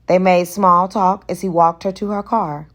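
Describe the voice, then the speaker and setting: A woman's voice: fairly low pitch, steady tone, moderate pace. A woman reading romantic fiction aloud as scripted audiobook narration. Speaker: audiobook reader.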